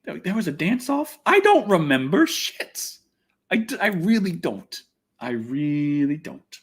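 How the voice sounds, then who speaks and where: A man with a somewhat high-set voice hosts a casual livestream. His delivery is very animated in pitch, swinging widely as he goes. He talks in a loose, rambling, unpolished way, happy to swear as he chats with viewers. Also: measured pace, husky. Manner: rambling, loose, expletive-laden. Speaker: livestream host.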